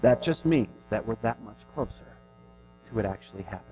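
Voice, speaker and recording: A man's voice: fairly low pitch, animated, fairly quick. Earnest preaching man, lo-fi sound. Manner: earnest, conversational preaching with rising and falling emphasis. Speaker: pastor. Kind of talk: sermon. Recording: dull lo-fi recording with faint music.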